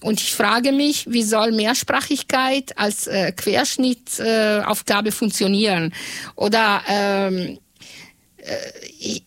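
Halting woman. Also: mid-range voice, steady tone, a bit bright, no echo. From Italy. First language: Italian. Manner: halting, with frequent pauses and fillers. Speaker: radio journalist. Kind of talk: interview answers.

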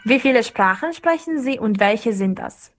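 A young woman with a fairly high voice puts prepared questions to her guest as a student interviewer. She stumbles a little with fillers along the way. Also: expressive, normal speed, faint music behind. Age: young adult. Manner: reads questions, with small hesitations.

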